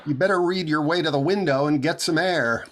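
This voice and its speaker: A man's voice: fairly high pitch, noisy background, natural voice. A man playing a vain, put-upon radio comedian boss with fussy, exasperated delivery, in 1940s radio comedy style. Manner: fussy, exasperated, drawn-out retorts.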